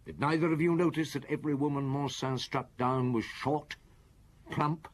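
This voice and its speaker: An actor playing a weary, relentless middle-aged chief inspector in a radio detective drama, speaking formally and deliberately. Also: male voice, fairly high pitch, fairly even intonation, slight background noise, close to an everyday voice. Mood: probing, accusing.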